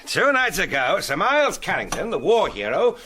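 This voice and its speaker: Bright, breezy British gentleman adventurer. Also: high-pitched, wildly swooping pitch, normal speed, slight background noise, full character voice. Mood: grave recounting. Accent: British English. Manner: jaunty, cool, wordy. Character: breezy, cheeky gentleman adventurer, fast-talking and unflappable. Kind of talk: tongue-in-cheek vintage detective audio drama acting.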